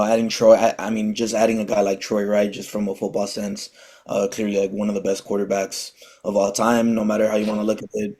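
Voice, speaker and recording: A man with a fairly low, flat voice co-hosting a casual sports talk podcast. He speaks haltingly, with many restarts and fillers. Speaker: podcast co-host.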